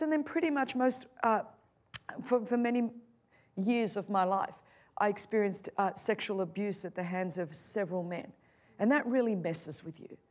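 A middle-aged woman preaching and telling her own story, passionate, moving between loud, soaring lines and quiet, hushed ones. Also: mid-range voice. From Australia. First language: Greek. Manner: impassioned, swings from loud to soft. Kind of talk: sermon testimony.

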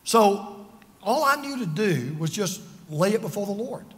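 A man's voice: sits high, sing-song, unhurried, fairly bright tone. A man over fifty preaching a sermon, earnest and emphatic, telling personal stories with self-deprecating humor. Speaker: pastor. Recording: long reverb of a large hall.

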